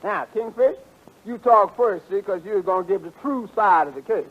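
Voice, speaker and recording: A man's voice: sits high, normal speed. A man playing a self-important, crooked arbitrator in a 1940s radio comedy, with pompous mock-legal talk. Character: shifty, self-important male arbitrator, openly biased and greedy. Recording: muffled 1940s radio broadcast recording.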